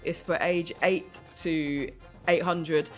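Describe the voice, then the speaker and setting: A woman riffing casually as a comedy podcast guest, thinking aloud in a halting way. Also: low-pitched, fairly even intonation, soft background music.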